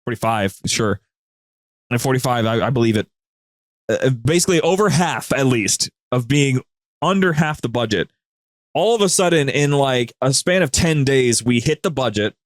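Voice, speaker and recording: Male podcast guest, medium pitch, emphatic and rambling storyteller, conversational, close dry sound. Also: expressive, normal speed, no room sound. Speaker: podcast guest, co-host of a gaming media group. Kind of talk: casual chat, storytelling.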